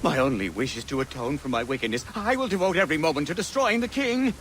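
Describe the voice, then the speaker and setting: A man's voice: fairly high pitch, expressive, fast, a bit bright, a little hiss or hum. An actor playing a repentant older statesman in a video game, pleading and wordy in a theatrical manner. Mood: earnest persuasion. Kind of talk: dramatic video game acting.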